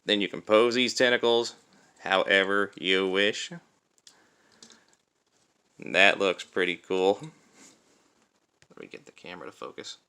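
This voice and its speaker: A man's voice: low-pitched, measured pace, pauses often, pushed and bright, smooth. A man talking informally through a hands-on toy review, explaining things step by step. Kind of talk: casual hands-on product review.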